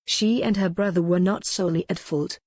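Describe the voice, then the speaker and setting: A woman's voice: lowish voice, fairly even intonation, a little fast, darkish tone, no room sound. A woman reading scripted historical romance narration as an audiobook narrator.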